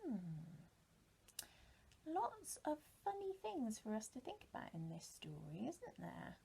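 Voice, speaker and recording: A woman's voice: sits high, measured pace, creaky, a little hiss or hum. A British woman in her thirties, a primary teacher talking and reading expressively to young children, often putting questions to them. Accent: British English. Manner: expressive, asks listeners rhetorical questions. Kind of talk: animated talk and storybook reading to young children.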